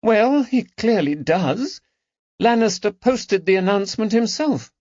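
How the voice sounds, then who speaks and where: A man voicing a distressed aristocratic woman in her forties who is prone to drama, in a high, trembling, theatrical voice. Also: lively intonation, unhurried, a little mellow, no echo. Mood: bitter, distressed. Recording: slightly dull dry recording.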